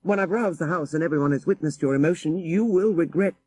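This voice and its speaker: A man voicing a proper, self-important young Victorian gentleman in an audiobook story. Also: a little high, quick pace, breathy edge, pitched above the performer's own voice. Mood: indignant. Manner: formal, prim.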